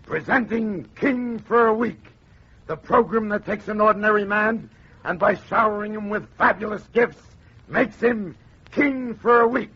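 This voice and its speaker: Brash vintage game show announcer, high and rough-edged, grandly declaimed. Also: male voice, unhurried, heavily acted. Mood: bombastic. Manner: bombastic, declamatory. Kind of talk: 1940s radio announcing, parody. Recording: dull, muffled old radio recording.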